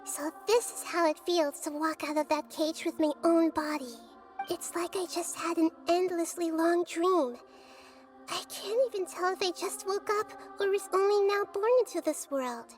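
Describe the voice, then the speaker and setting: A woman voicing a gentle, wise, childlike goddess in a video game, soft and earnest in a thoughtful, measured way. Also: extremely high pitch, faint music behind. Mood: moved, humble. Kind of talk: video game cutscene voice acting.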